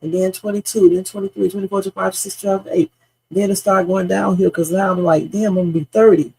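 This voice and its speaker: A woman's voice: mid-range voice, steady tone, fast talker, vocal fry, mellow, slightly rough, rough recording. A woman in her fifties hosting a livestream, chatting in a blunt, salty, meandering way that mixes stories with life advice. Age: 50s. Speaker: livestream host. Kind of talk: rambling casual livestream chat.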